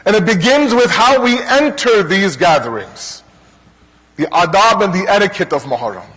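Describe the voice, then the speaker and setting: A man from Toronto with a Canadian accent preaching to a congregation in an earnest, rhetorical manner, pausing between deliberate parallel phrases. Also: sits high, expressive, fairly bright tone, breathy edge. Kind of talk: religious lecture to a congregation. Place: large hall, speaking to a gathering. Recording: long hall reverb.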